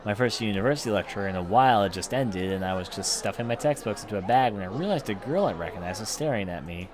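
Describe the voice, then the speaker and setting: Deep-voiced man reading a visual novel, animated. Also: fast, crowd noise. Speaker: let's play host reading a visual novel aloud. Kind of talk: animated read-aloud narration with off-the-cuff commentary.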